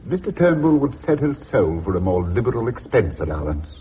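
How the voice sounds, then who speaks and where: An actor playing a dry, needling older British company director, precise and self-assured, in a radio drama. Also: male voice, medium pitch, sing-song, fairly quick, slight background noise, close to an everyday voice. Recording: dull lo-fi radio recording.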